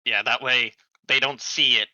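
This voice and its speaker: A man's voice: medium pitch, steady tone, moderate pace, pushed and bright, no echo, lo-fi sound. A man chatting casually as a player in a tabletop game.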